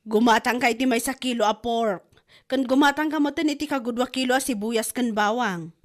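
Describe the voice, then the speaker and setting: A woman's voice: a little high, brisk, lightly acted. A woman with an Ilocano accent acting everyday women in a comedy drama. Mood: bossy, nagging.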